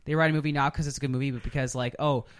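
Man, medium pitch, casual podcast chat, enthusiastic rambling explainer, dry close sound. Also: fairly even intonation, rapid-fire, clear, resonant tone, no echo. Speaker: podcast host. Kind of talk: casual chat about video game movies.

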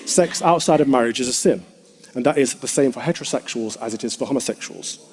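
A man, a clergyman, giving a formal, measured speech to an assembly. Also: mid-range voice. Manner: measured, firm oratory.

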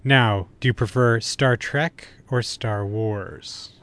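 A man's voice: medium pitch, takes it slowly, a bit bright. A man co-hosting a podcast, asking short questions and chatting casually with his guest. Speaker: podcast co-host and interviewer. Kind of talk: casual quick-fire interview chat.

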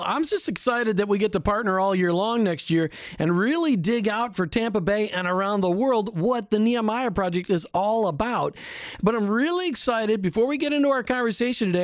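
Upbeat radio host guy, pretty high voice, dry close mic, trips on his words. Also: dry recording. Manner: enthusiastic, chatty, stumbles on starts. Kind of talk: casual radio talk.

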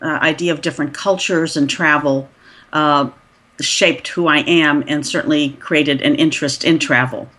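A woman in her sixties, a podcast guest, telling her story in a chatty way with many pauses and restarts. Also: sits low, fairly even intonation, a bit bright. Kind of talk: conversational interview.

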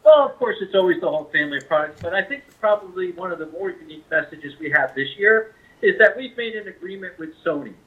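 A man speaking as a company representative, giving a conversational product pitch as an interview guest over a phone line. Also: expressive, fast. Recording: tinny narrow-band phone line.

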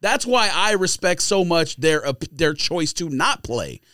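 A man's voice: fairly high pitch, sing-song, measured pace, bright, forceful tone, no echo, clean studio sound. An American man co-hosting a podcast, arguing insistently in a casual sports debate. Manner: argumentative, insistent. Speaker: podcast co-host.

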